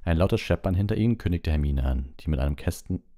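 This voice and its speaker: A man's voice: deep voice, expressive, quick pace, mellow. A man reading a story aloud as scripted audiobook narration.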